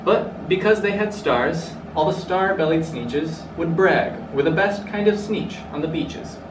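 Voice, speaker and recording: A man's voice: on the high side, a little slow. A young man reading a rhyming children's storybook aloud. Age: young adult. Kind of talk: storybook read-aloud in rhyme. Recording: roomy, distant mic, clearly noisy.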